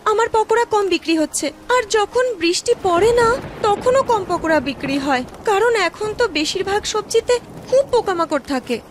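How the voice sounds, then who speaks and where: A woman's voice: extremely high pitch, animated, moderate pace, a little hiss or hum. A woman voicing a kind, eager young orphan woman who sells fritters, in an earnest sing-song for a cartoon story. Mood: worried. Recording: music behind the voice.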